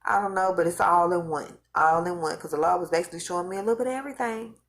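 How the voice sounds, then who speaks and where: A woman's voice: lowish voice, very fast, gravelly, a little hiss or hum. A woman who is losing her voice, talking casually and rambling to her viewers, repeating and restarting phrases as she recounts visions. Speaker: vlogger recounting religious visions. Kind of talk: casual spoken monologue to viewers.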